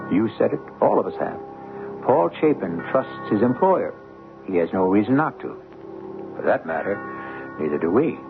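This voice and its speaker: Music plays under a thin, phone-like recording of a man hosting a radio mystery. He narrates from a script in a conversational, rhetorical way, his mid-pitched voice moving expressively up and down. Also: creaky. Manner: conversational, rhetorical, measured storyteller. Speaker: host and narrator.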